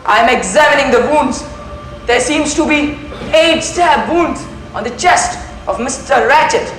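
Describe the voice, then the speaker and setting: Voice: high voice, measured pace, noisy background. A teenage student acting a commanding, inquisitive male Belgian detective in a school stage play. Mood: probing. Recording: echoey stage recording with music behind.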